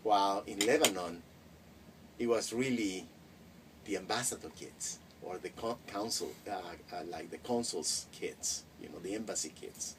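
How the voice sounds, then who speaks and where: Middle-aged man, Spanish first language, low voice heard from across the room, thoughtful and full of restarts. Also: normal speed. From Mexico. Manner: reflective, many hesitations and restarts. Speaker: interviewee. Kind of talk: casual interview. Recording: distant mic with faint noise.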